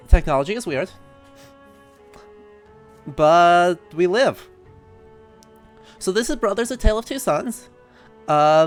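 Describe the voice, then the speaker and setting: Clear-voiced male streamer, a touch high. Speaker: live streamer. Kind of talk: casual game commentary with historical analysis. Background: faint game music.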